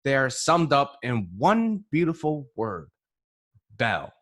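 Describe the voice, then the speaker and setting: A young man playing a jovial, pompous older gentleman and proud father, making grand theatrical announcements in a radio play. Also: mid-range voice, very animated, slow, full character voice. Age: young adult.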